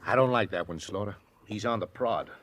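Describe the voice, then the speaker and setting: An actor playing a wary, businesslike cattle owner with folksy, blunt talk in an old-time radio Western. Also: male voice, fairly low pitch, fairly quick, a bit bright, a little hiss or hum, natural voice.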